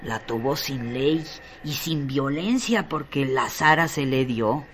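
A man narrating a literary radio drama with deliberate, theatrical phrasing. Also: mid-range voice, animated, noisy background.

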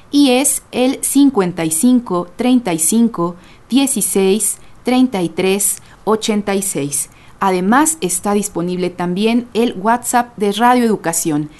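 A woman hosting a radio show, reading announcements in a clear, measured way. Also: mid-range voice, a little mellow. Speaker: radio host.

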